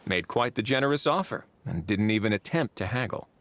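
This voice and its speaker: A man playing a calm, dryly witty duke in a video game cutscene, speaking in a composed, measured way. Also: on the low side, dry recording. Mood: matter-of-fact.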